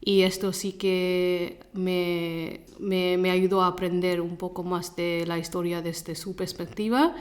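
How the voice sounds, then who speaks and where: A woman from England, a Gujarati speaker, answers interview questions in halting, self-correcting second-language speech. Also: lowish voice, flat delivery. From Leicester, England. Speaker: interview guest, Spanish teacher.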